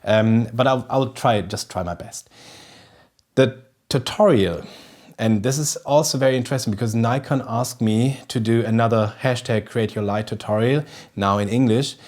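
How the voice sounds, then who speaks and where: A man's voice: medium pitch, normal speed, a little mellow. A man in his thirties from Germany, speaking English with a German accent as a second language, presenting a casual photography tutorial to camera in a studio kitchen, eager and often restarting as he searches for words. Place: indoor studio kitchen set with festive decorations.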